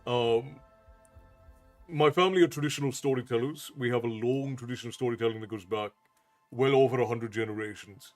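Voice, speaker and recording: A man's voice: mid-range voice, fairly quick, faint music behind. A man in his forties from Ireland with an Americanized Southern Irish accent, chatting casually on a livestream and thanking viewers by name. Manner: folksy, thanks chat by name, calls viewers "love". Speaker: streamer and storyteller host.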